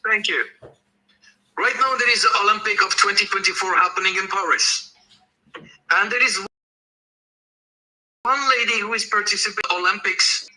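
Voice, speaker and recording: Man, high-pitched, piercingly bright, insistent, repetitive, impassioned caller. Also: low-quality recording. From Algeria. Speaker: audience caller. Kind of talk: impassioned speech in an online audio discussion.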